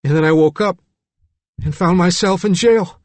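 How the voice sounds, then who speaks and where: Audiobook role: scared, bewildered young man played by a male narrator, shift+ pitch, pleading and simple-spoken. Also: moderate pace, darkish tone, no room sound, close to an everyday voice. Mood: frightened, confused. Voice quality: ungrammatical, pleading speech. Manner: anxious, bewildered, exclaiming. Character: frightened, dazed, childlike troubled young man.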